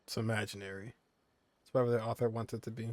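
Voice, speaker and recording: A man's voice: fairly low pitch, little pitch movement, fast talker. A man streaming a game, giving casual, offhand commentary and reading on-screen text. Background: game music.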